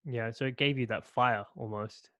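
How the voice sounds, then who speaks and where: A man hosting an interview podcast, giving calm, brief responses. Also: medium pitch, normal speed, no echo. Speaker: podcast host. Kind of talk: conversational interview.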